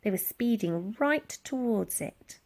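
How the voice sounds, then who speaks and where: A woman reading a children's storybook aloud in a dramatic, expressive narrating style. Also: medium pitch, takes it slowly. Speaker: audiobook reader.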